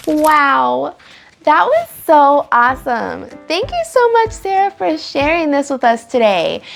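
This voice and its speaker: A woman reading a picture book aloud for children, with animated, dramatic delivery and drawn-out emphasis on key words. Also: high voice, gravelly. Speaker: children's storytime reader and host. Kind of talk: expressive picture-book read-aloud for kids.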